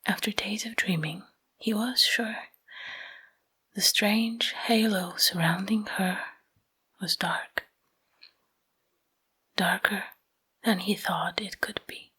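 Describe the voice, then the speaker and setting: A woman whispering a bedtime story in ASMR style, hushed and breathy, in short phrases with pauses, close to the microphone. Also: medium pitch, fairly even intonation.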